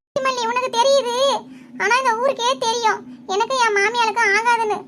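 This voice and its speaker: A woman plays a quarrelsome, tearful village wife in a cartoon, talking in a very high, piercing, bright voice. She rattles on quickly, complaining and accusing, and a faint hiss of noise sits under the voice. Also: steady tone. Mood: recounting. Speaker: village housewife character. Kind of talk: Tamil cartoon voice acting.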